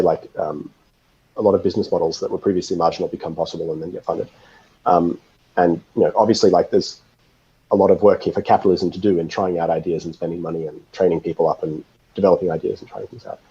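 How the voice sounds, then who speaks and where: A man speaking as an expert interview guest, explaining things informally in a thoughtful, halting, analytical way, on slightly dull audio. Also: low voice, fairly even intonation, mellow, slightly rough. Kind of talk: casual explanatory interview talk.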